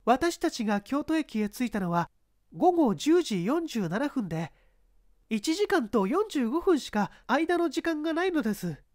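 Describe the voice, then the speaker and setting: A woman's voice: high-pitched, big pitch swings, unhurried, darkish tone, no echo, studio quality. A woman playing a composed young female witness, speaking politely and formally in a dramatized mystery reading. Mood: earnest, explaining. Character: composed young woman giving testimony to police.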